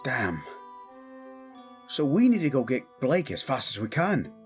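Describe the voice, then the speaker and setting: A man playing a protective, devoted young commoner guarding royalty in a fantasy audio drama, gruff and intense. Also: mid-range voice, big pitch swings, measured pace, faint music behind. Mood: concerned. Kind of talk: fantasy audio roleplay acting.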